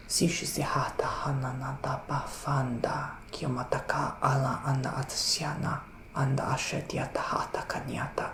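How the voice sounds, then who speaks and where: A man playing a gentle, theatrical male witch psychic in an ASMR roleplay, speaking in a breathy, sighing, partly whispered hush. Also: mid-range voice, echoey room, close to an everyday voice. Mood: chanting. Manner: breathy, sighing, hushed, dramatic. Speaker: ASMR performer.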